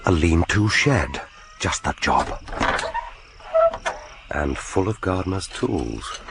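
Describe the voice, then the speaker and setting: Very deep-voiced, grim, vengeful spy. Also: male voice, lively intonation, slow pace, creaky, full character voice. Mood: wary, sardonic. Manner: brooding, sardonic inner monologue. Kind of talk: radio drama monologue. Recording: noisy radio drama recording.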